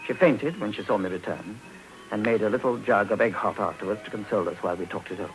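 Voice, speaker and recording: A rather low male voice narrates briskly, as a gentleman played by an actor wryly recalling his youth. It is literary radio drama from the 1950s, with music behind it. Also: close to an everyday voice, low-quality recording. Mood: reminiscent, measured. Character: grown gentleman recounting his boyhood, wry and measured. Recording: old 1950s radio recording.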